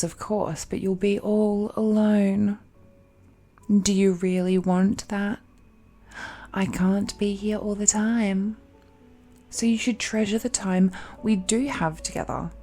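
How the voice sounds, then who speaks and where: A woman's voice: medium pitch, mellow. A woman playing a possessive, seductive ancient supernatural villainess in a romantic audio roleplay, speaking in a coaxing, condescending way with hums and sighs. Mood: coaxing, menacing.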